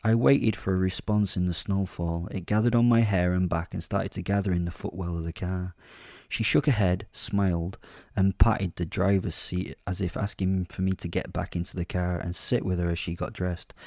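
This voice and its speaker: A man narrates a dark audio drama in a very low register. His voice is soft-edged and mellow, recorded close and dry, with a measured storytelling delivery. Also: fairly even intonation, gravelly, no echo. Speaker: narrator and voice actor. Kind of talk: first-person audio drama narration, horror fiction.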